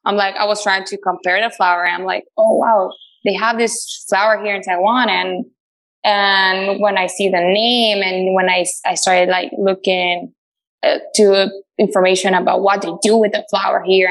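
Woman guest from Latin America, gravelly chatty delivery full of fillers. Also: mid-range voice, low-quality recording. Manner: chatty, many fillers and restarts, laughs often. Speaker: radio show host, guest. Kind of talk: casual interview answers.

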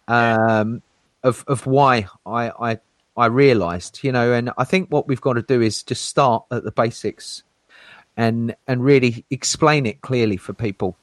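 A man hosting a casual crypto talk show, playful and showy, laughing often. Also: no echo. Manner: playful showman, jokey put-on low announcer voice, laughs a lot. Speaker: crypto channel host.

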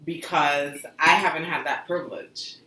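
A woman's voice: low voice, steady tone, unhurried, pushed and bright, far from the mic. A woman from Panama telling a story animatedly in a casual group chat, with a faint echo of the room. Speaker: discussion panelist. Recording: echoey room, faint noise.

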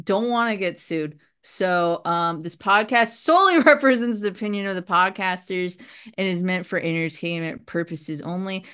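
A woman in her thirties hosting a podcast, talking in a relaxed, casual way with lots of ums. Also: fairly low pitch, clear, resonant tone. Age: 30s. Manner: relaxed, hesitant, many ums. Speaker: podcast host. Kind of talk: casual chat.

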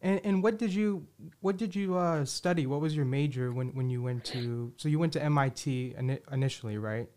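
A man hosting a podcast, asking questions in a casual, halting way with stutters and many fillers. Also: a little high, darkish tone. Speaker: podcast host. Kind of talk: casual interview chat.

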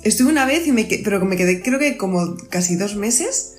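A woman from Spain with a Spanish accent, a podcast guest who talks in a lively, expressive way and laughs easily. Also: mid-range voice, brisk, reverberant, soft background music. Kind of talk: casual interview chat.

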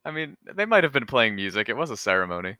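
A man's voice: mid-range voice, big pitch swings, fast, pushed and bright, no room sound, clean studio sound. A man co-hosting a casual panel chat about films with friends. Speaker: podcast co-host.

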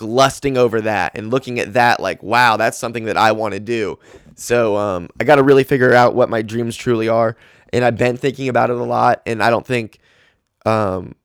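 A man's voice: medium pitch, dry recording, clean studio sound. A man in his twenties hosting a solo podcast, talking casually and rambling with lots of fillers. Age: 20s. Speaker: podcast host.

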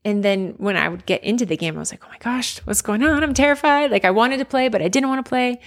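A woman's voice: a little high, animated, quick pace. A woman in her forties from near Philadelphia, a former basketball player speaking as an interview guest. She talks in an earnest, candid way, full of fillers and restarts. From United States, near Philadelphia. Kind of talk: reflective conversational interview.

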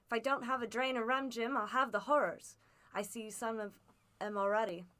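A woman voicing a sick old sea captain who talks in rough sailor slang, feeble but hearty, in an audiobook. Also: on the high side, steady tone, moderate pace, sharp tone. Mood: desperate. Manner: rough seafaring slang, cursing. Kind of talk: audiobook character voice.

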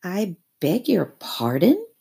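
Woman doing a stubborn male water rat, storybook, dry and flat, raspy. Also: fairly low pitch, slow, voice pushed up, heavily acted. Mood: suspicious. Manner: dry, dogged, flat refusals.

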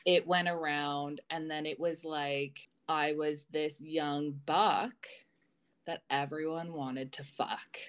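A woman's voice: sits low, fairly even intonation, takes it slowly, clear, resonant tone. A woman co-hosting a podcast in casual chat, telling personal stories in a candid, informal way with some swearing. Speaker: podcast co-host.

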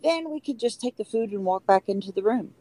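A woman playing a carefree, reckless joyrider in an audio drama, with a lively conversational delivery. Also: mid-range voice, brisk, dry recording, close to an everyday voice, poor audio. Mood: carefree, plotting. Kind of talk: amateur audio drama dialogue.

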